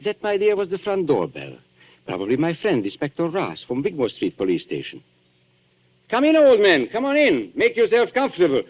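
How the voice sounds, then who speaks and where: A suave villain of a 1940s radio thriller, who swoops up and down in pitch as he mocks his victims with elaborate courtesy. The recording is old and muffled. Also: wildly swooping pitch, moderate pace. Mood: taunting. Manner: smooth, mocking, theatrically polite. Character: suave, sneering male blackmailer posing as antique dealer.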